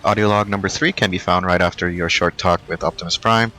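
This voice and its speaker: Fast-talking man giving game walkthrough directions. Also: low-pitched, fairly even intonation, bright, forceful tone, soft background music. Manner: brisk, matter-of-fact instructions. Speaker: game guide narrator. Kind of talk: walkthrough voice-over.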